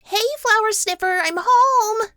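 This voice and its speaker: A woman voicing an excitable, anxious female pony in an animated comic dub, with exclamatory, drawn-out calls. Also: very high-pitched, slight background noise, full character voice. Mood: cheerful. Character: cartoon female pony, bright and startled, anxious.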